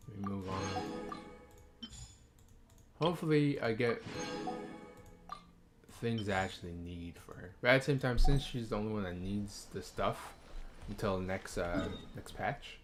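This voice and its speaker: A man's voice: fairly low pitch, lively intonation, measured pace, pauses often, over music. A man streaming a video game, thinking aloud in casual, rambling commentary full of fillers and hesitations. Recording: noisy background ambience.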